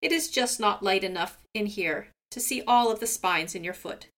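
A cunning trickster raven, voiced by a woman reading the story speaks in a smooth, crooning way that easily turns impatient. He sounds sly and falsely kind. His voice stays close to the reader's own. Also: mid-range voice, normal speed, a bit bright, natural voice.

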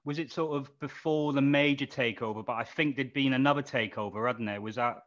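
A man's voice: mid-range voice, fairly quick, fairly bright tone. A man hosting an interview, asking questions in an informal, chatty, casual way. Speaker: interviewer, podcast host. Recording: dull, lo-fi sound.

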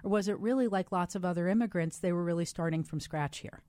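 A woman interviewer from the United States, asking questions in a warm, attentive manner. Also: lowish voice, quick pace, no echo, studio quality.

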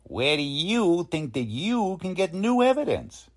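Low, drawling, cynical police detective played by a woman. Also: big pitch swings, unhurried. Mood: dismissive, sardonic. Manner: slangy, sardonic, emphatic. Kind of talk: 1940s radio drama acting.

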